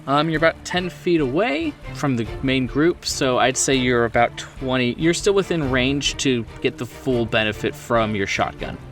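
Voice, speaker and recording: A man with a mid-pitched voice acts as game master at a horror tabletop game. He talks players through rules and scenes conversationally, a little away from the mic, with clear music playing behind him. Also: expressive, normal speed, hardly any pauses, a bit bright, distant mic. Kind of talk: narrating a tabletop game, off the cuff. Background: music bed.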